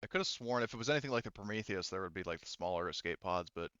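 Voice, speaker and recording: A low, smooth male voice, dry and slightly muffled. He is a game master talking through a tabletop session casually, pausing often as he works things out aloud. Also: expressive, a bit bright, dry recording. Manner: halting, thinking aloud.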